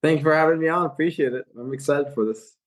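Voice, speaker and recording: A man's voice: fairly high pitch, quick pace. A young man from Iraq, a guest on a podcast interview, telling his story earnestly with frequent fillers and restarts. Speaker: guest, real estate investor. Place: California.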